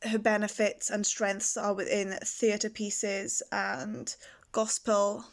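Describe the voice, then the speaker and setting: Flat-voiced young woman music student. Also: mid-range voice, takes it slowly. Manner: reflective, hesitant with frequent ums. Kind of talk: spoken course review.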